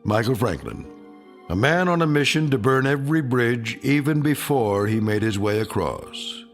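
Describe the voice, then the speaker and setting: An actor playing a grave, ironic male narrator of a radio drama, measured and portentous in his delivery. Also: mid-range voice, expressive, faint music behind, close to an everyday voice. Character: grave, ironic male storyteller of uncanny tales.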